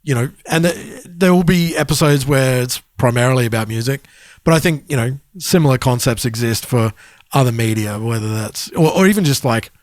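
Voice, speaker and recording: A man with an average-pitched voice chats as a podcast co-host. He is informal and a bit halting, circling back to reword his thoughts. The recording is dry, with little room sound. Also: dry recording. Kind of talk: casual conversational podcast talk.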